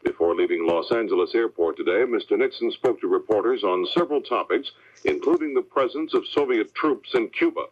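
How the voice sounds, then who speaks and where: Man, American, low voice, scripted newscast, network anchor, 1970s radio, dull AM sound. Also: brisk. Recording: dull vintage AM radio recording.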